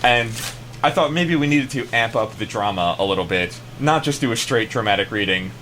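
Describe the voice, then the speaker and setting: A man's voice: on the low side, lively intonation, brisk, a bit bright, a little hiss or hum. An American man chatting casually in a rambling, hesitant way, often trailing off before he finishes. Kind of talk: casual banter.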